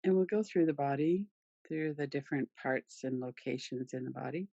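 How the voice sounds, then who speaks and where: A woman leading a guided relaxation meditation in a soothing, gentle, unhurried manner with pauses. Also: low-pitched, vocal fry, no echo, lo-fi sound. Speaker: yoga nidra meditation guide.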